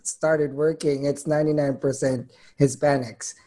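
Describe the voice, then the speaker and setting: A man's voice: fairly high pitch, steady tone, a little slow, warm tone. A man with a Filipino accent speaking on a panel, good-humored and using many fillers. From Philippines. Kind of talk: panel discussion.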